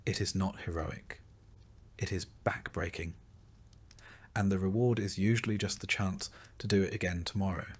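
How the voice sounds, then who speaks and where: Low-voiced man narrating history. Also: fairly even intonation, husky. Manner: measured, dryly wry storytelling. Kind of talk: scripted second-person narration.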